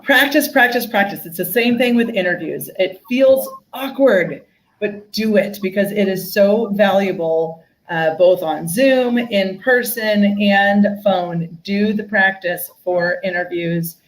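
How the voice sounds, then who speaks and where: A woman on an online panel gives career advice in a chatty, upbeat way. Her voice sits in a middle pitch, and she fills her talk with "you know." She comes through a video call. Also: slow pace, slight background noise, rough recording. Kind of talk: live panel discussion.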